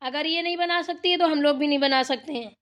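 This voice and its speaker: A woman's voice: high-pitched, fairly quick, a bit bright. A woman voicing a stern, bossy older village mother-in-law in a cartoon family drama, scolding and commanding bluntly. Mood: indignant.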